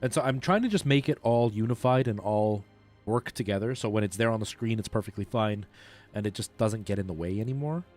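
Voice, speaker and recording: A man chatting casually and a bit haltingly while he streams a game, thinking aloud in an informal way. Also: lowish voice, darkish tone. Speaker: live streamer. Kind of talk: casual chat while gaming. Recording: music playing behind the voice.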